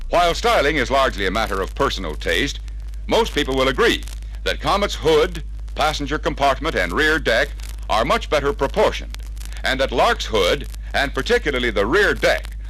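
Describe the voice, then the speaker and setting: An American man reading a scripted 1960s promotional narration in a measured, emphatic, salesmanlike way, from an old record. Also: medium pitch, fairly bright tone, husky, poor audio. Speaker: narrator of a salesman training film. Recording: vintage 33-1/3 RPM record sound.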